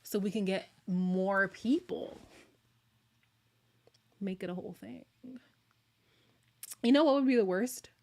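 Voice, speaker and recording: Woman streamer, casual live chat host, chatty and animated, slightly worn, hoarse voice. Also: medium pitch, slow pace, pauses often. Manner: chatty, excitable, laughs often. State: losing her voice, a little hoarse. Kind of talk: casual livestream chat with viewers.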